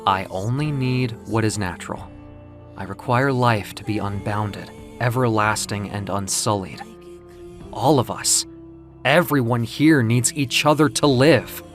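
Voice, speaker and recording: A man playing an ancient, weakened winged muse in an audio comic drama, speaking in grave, deliberate oratory. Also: on the low side, lightly acted. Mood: solemn. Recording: music behind the voice. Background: music.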